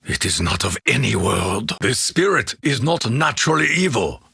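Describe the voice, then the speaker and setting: A spiritual, honor-bound Japanese warrior for a video game. His Japanese-accented voice is rough and gravelly, with animated swings in pitch. He makes solemn, formal declarations, recorded close and dry. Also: normal speed, no echo, full character voice. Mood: brooding, grave. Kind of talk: video game character voice lines.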